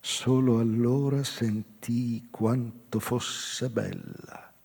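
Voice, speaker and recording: An actor playing a wistful, intense man recounting an old love in a deliberate theatrical reading, with pauses and a voice that can sink toward a whisper. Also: medium pitch, fairly even intonation, vocal fry, darkish tone, slightly rough, full character voice. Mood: haunted, longing. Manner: slow, deliberate, pauses, sometimes dropping to near whisper. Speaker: actor narrating a literary monologue. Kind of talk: theatrical dramatic reading of a first-person story. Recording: reverberant hall, live guitar music behind. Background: plucked guitar music.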